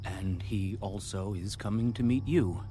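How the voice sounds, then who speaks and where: A man acting a slow, low-voiced biblical messenger. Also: warm tone, a little hiss or hum, lightly acted. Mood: grave report. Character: grave male messenger reporting to his master. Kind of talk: dramatized audio Bible.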